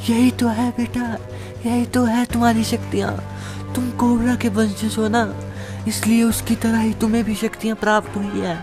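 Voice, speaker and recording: Woman doing a regal snake queen in a fantasy story, solemn n guiding, highish voice, light music behind. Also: flat delivery, normal speed, natural voice. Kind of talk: dramatized fantasy story acting. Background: faint background music.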